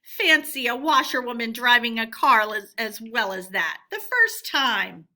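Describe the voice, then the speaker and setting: Woman as an emphatic storybook gentleman, brightly voiced. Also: fairly high pitch, lively intonation, a little slow, full character voice. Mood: impressed. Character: hearty, kindly gentleman motorist, easily impressed.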